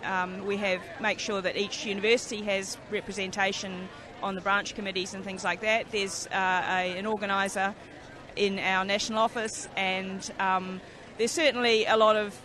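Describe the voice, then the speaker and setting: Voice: medium pitch, steady tone, normal speed. Earnest unionist amid crowd noise. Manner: hesitant, earnest, many fillers. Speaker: union member at a rally. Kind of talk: casual street interview answers. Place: outdoors at a crowded rally.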